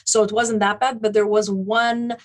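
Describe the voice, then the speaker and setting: A woman's voice: mid-range voice, little pitch movement, normal speed. A woman speaking as an interview guest, recounting things in an articulate, detailed, conversational way. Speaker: interview guest, event planning business owner.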